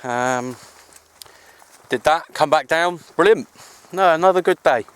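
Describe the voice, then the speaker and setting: A man with a clear, fairly light-pitched voice talks to his handheld camera outdoors in the countryside. He is easygoing and chatty, rambling along like a hobbyist making a casual vlog. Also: measured pace, fairly bright tone. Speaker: amateur CB radio hobbyist vlogger.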